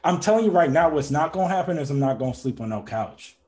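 A man's voice: a little high, expressive, quick pace, darkish tone. A young man co-hosting a podcast, talking casually and laughing often, with hesitant restarts when he turns reflective. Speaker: podcast co-host. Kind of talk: casual conversational debate.

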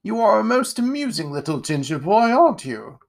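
A man's voice: high-pitched, big pitch swings, normal speed, gravelly, voice pushed up, exaggerated voice. A man voicing a pompous, condescending wealthy nobleman with a grand, theatrical manner in a storybook reading. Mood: jovial. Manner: grand, theatrical, plummy delivery.

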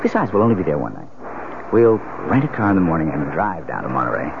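A man's voice: sits low, sing-song, fast, creaky, heavily acted. An actor playing an affable, mildly evasive newlywed businessman in 1970s radio drama style, casual and sometimes hesitant. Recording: muffled, noisy old radio broadcast.

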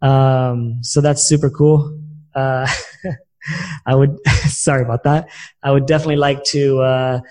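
Man, interview host, very soft-edged dark tone, mid pitch, casual conversational delivery. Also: fairly even intonation, unhurried.

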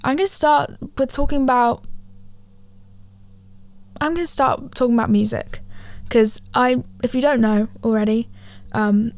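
A teenage girl hosting a solo podcast from her living room on a handheld mic, chatting casually, rambling and correcting herself, her voice a little gravelly from a cold. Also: on the high side, fairly even intonation, unhurried, pauses often, a little mellow. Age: teens. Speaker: podcast host. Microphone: handheld studio mic.

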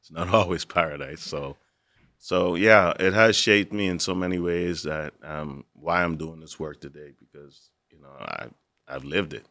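Deep-voiced man, reflective. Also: normal speed, sharp tone, no echo, studio quality. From Bahamas. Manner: reflective, earnest, with easy laughs. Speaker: university assistant director, guest. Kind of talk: personal storytelling in conversation.

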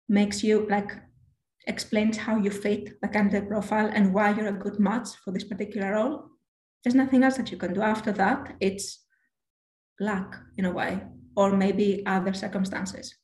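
A woman guest giving careers advice in a measured, reflective way, often correcting herself mid-sentence, with a low voice. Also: flat delivery, darkish tone, echoey room.

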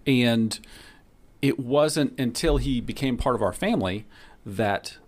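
Friendly male interviewer, mid-pitched voice, curious, restarts a lot. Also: slow. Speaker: podcast host interviewing guests. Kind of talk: casual interview.

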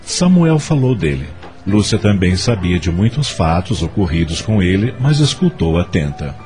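A man's voice: deep voice, very animated, normal speed, mellow, faint music behind. A man narrating a scripted radio drama as its storyteller.

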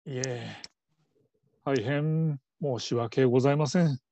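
Man acting a slow, mellow, apologetic trainee. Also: medium pitch, lo-fi sound. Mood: apologetic, nervous. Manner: hesitant, humble, apologetic. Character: timid, apologetic divorced middle-aged male call-centre trainee. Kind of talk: radio drama reading.

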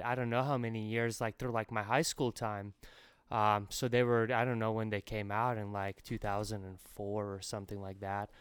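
A man's voice: medium pitch, a bit bright, clean tone, no echo, studio quality. A man from Latvia co-hosting a podcast, laid-back and filler-heavy in casual chat. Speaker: podcast co-host.

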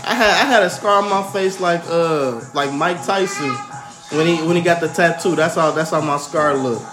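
A young American man with a fairly high voice tells stories on a podcast in slangy, animated talk. The room has a slight echo, with faint music underneath. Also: normal speed. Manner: slangy, animated, profane storyteller. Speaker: podcast co-host. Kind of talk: casual storytelling chat.

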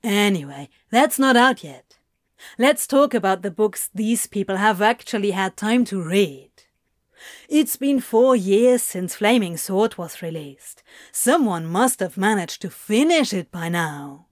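A woman's voice: medium pitch, lively intonation, measured pace, no room sound, natural voice. A woman voicing a sardonic male author with a dry, drawling, mocking manner, as in an audiobook story. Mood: nonchalant.

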